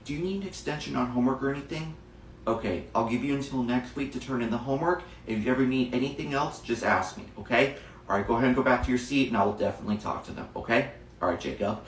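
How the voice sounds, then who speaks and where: Male actor as a no-nonsense teacher with a soft side, slightly high voice, roomy sound. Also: expressive, moderate pace, a little hiss or hum. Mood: gentle, consoling. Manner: stern and scolding, then soft and consoling. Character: strict but caring male schoolteacher. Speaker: teacher in a classroom skit. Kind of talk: comedic classroom sketch. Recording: roomy echo, distant mic.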